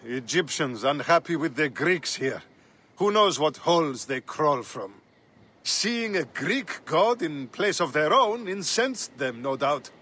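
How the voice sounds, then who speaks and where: Game role: wry lawman, weary and out of his depth, man, high bright voice, slightly halting delivery. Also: animated, slightly rough, exaggerated voice. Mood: dry, cynical. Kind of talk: dramatic game cutscene dialogue.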